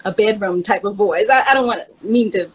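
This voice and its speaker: A woman co-host reminiscing in casual chat, in an informal, halting manner. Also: medium pitch, breathy edge, rough recording. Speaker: co-host, memoir author.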